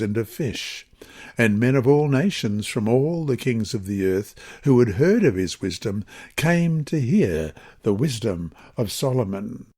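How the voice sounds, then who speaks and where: A man reading a scripted religious lesson and scripture passages in a measured, formal, expressive manner. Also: mid-range voice. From Australia. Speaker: Bible lesson reader.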